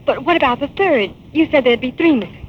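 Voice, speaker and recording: An American actress playing a loyal, inquisitive young sidekick in a 1940s radio crime drama. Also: female voice, high-pitched, animated, fast talker. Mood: curious. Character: loyal, inquisitive young female sidekick, anxious but game. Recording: muffled, noisy 1940s radio recording.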